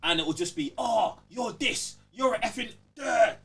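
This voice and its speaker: A man's voice: very high voice, expressive, moderate pace, fairly bright tone, husky, distant mic. A young man with a London accent talking emphatically and in slang on a casual podcast, often checking that he's being followed. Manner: emphatic, slangy, repeats check-in tag phrases. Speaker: podcast host.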